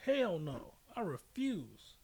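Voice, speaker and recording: A man with a somewhat high-pitched voice hosts a casual podcast. He tells things in a slangy, emphatic way, leaning on repetition and giving his opinions freely. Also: very animated, takes it slowly, vocal fry, mellow, husky. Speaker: podcast host.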